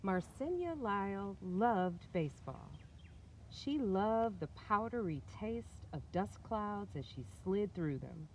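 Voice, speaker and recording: A woman's voice: mid-range voice, darkish tone, slight background noise. A woman reading a storybook aloud expressively, with casual asides and questions to her co-reader.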